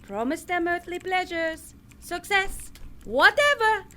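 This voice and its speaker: A tough, mocking little boss from Hell acted by a woman, high in pitch and unhurried in delivery. She is brash and condescending, in audio comedy style. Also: sing-song, bright, forceful tone, full character voice. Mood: instructing, smug. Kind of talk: audio drama comedy acting.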